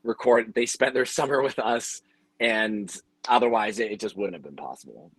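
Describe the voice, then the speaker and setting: A man's voice: on the low side, normal speed, a little hiss or hum, poor audio. A man explaining things in businesslike detail in a conversational panel discussion. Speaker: audio drama producer on a panel.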